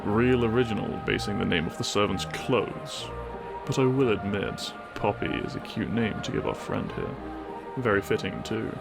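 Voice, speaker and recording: Male actor as brash muscular prince, fairly deep, creaky, gruff, possessive, romantic audio drama, background music. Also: lively intonation, normal speed. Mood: sardonic, teasing. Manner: gruff, boastful, taunting. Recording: music under the voice.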